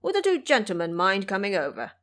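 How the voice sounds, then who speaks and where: A woman's voice: medium pitch, huge pitch swings, fairly quick, a bit bright. A woman voicing a boastful male monster king who brags of a stolen treasure in an audio drama. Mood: gloating. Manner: boastful, gloating.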